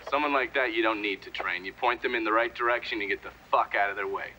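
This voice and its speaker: Brash, sharp-voiced American ex-CIA operative. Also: male voice, lowish voice, fast talker, a little hiss or hum, rough recording. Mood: scornful, defiant. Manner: blunt, profane, cynical. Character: brash, foul-mouthed, unrepentant ex-CIA operative testifying, middle-aged man. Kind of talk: video game cutscene acting, testimony.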